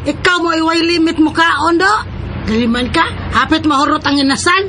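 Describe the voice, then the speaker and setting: A woman playing a lively, teasing, generous female relative in a Philippine radio drama. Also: high voice, normal speed, a little hiss or hum. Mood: bustling.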